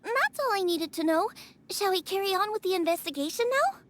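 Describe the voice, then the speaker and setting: A woman's voice: extremely high pitch, expressive, brisk, fairly bright tone. A woman playing a bubbly, earnest teenage girl chef in a video game, perky and enthusiastic. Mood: flustered.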